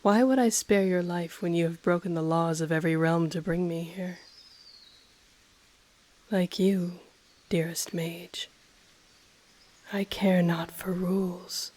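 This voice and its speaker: A woman voicing an ancient female dragon in an intimate audio roleplay, breathy and often whispering, haughty yet tender, with teasing, seductive, formally worded speech. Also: fairly low pitch, fairly even intonation, a little mellow. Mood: possessive, tender. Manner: slow, teasing, formal archaic phrasing.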